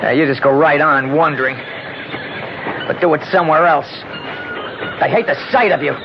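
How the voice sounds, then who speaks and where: An actor playing a bitter, resentful, downtrodden laborer in a 1970s radio drama, sullen and agitated. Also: male voice, on the high side, normal speed, creaky. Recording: muffled, noisy 1970s radio recording.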